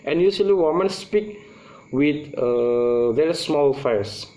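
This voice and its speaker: A young man from Indonesia with an Indonesian accent gives a class presentation in English, his second language. He speaks haltingly and often searches for his words. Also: a little high, animated, mellow, rough recording. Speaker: student presenter.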